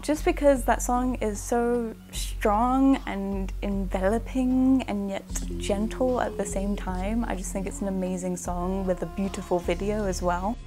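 A young woman, talking casually and warmly to camera about her records from her bedroom. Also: medium pitch, fairly even intonation, unhurried, hardly any pauses, music in the background. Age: young adult. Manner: enthusiastic, thoughtful, slightly chatty. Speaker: vlogger reviewing records. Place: bedroom, indoors.

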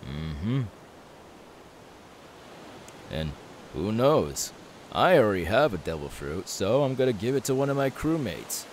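A young pirate captain, acted out by a man in an audio roleplay, confident and loyal to his crew. His voice is low and swings in a lively way as he reassures without hurry. A faint steady hiss sits behind him. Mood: warm, sincere. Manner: easygoing, unhurried, reassuring.

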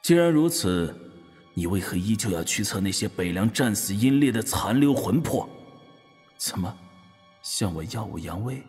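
An actor playing a proud, cold young eunuch martial master in an audio drama, speaking formally and sternly. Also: male voice, lowish voice, animated, normal speed, darkish tone, slightly rough. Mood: challenging, probing. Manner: stern, probing, archaic formal diction. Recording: long hall reverb, music underneath. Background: background music.

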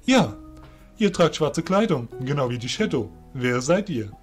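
Stern, courtly male fairy guard played by a man, animated intonation, fantasy audio drama. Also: medium pitch, measured pace, faint music behind. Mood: suspicious, angry. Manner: formal, archaic courtly address.

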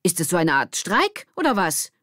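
Woman doing a bossy brisk mom on the phone, raised pitch, loud, kids audiobook. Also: very animated, dry recording, studio quality. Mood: demanding. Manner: insistent, chatty. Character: brisk, demanding mother running a gelateria.

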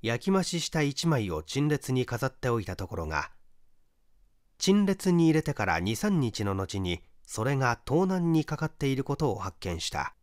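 A man reading a mystery story as a narrator, in a measured, dramatic literary storytelling manner. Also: medium pitch, big pitch swings, no room sound, clean studio sound. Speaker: audiobook narrator, stage actor.

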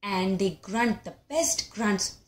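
A woman storyteller reading a picture book aloud in an expressive, animated way for young children. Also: medium pitch, takes it slowly. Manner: expressive, acts out animal calls and sounds. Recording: roomy, echoey sound.

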